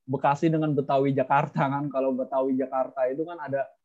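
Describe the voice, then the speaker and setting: A man's voice: medium pitch, flat delivery, quick pace, a little mellow. Chatty young man moderating a call. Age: young adult. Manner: chatty, jokey, teasing. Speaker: webinar moderator. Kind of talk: casual moderating on a video call. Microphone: video call. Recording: dull lo-fi call audio.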